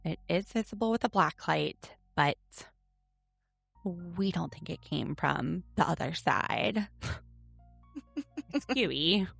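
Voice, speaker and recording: A woman's voice: lowish voice, animated, gravelly, a bit bright, slightly rough, soft background music. A woman with a Southern accent, co-hosting a podcast in a chatty, sassy recap style. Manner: chatty, sassy, quick jokes and laughs. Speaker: podcast co-host, attorney. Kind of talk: casual recap chat.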